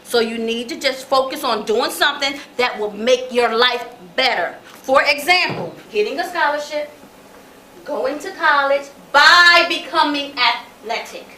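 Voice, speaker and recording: A woman's voice: high-pitched, unhurried, bright, forceful tone. An American woman playing a stern, domineering mother on stage, scolding in an emphatic, dismissive manner. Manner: scolding, emphatic, rhetorical questions. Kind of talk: stage play acting. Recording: echoey stage, distant mic, faint noise.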